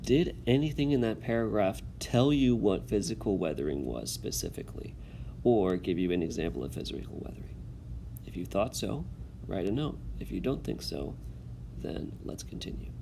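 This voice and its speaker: A man teaching in an instructional video, reading a text aloud and pausing to give clear directions and check understanding. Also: on the low side, sing-song, warm tone, a little hiss or hum.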